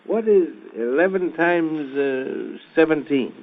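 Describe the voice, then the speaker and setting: A man's voice: a little high, slow pace. A man acting in an old-time radio drama as an amazed, eager father, hesitant and repeating himself in wonder. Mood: testing, astonished. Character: amazed, eager father of a child prodigy, 1810 New England. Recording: thin, narrow vintage radio recording.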